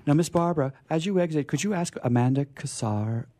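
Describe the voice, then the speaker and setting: A man's voice: fairly high pitch, expressive, fairly quick, warm tone, a little hiss or hum. A man hosting a radio show off the cuff, effusive, jokey and rambling. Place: radio studio.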